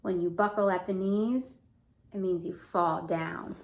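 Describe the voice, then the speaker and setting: A woman reading a children's story aloud as a teacher, pausing to ask questions and explain words. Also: lowish voice, steady tone, vocal fry.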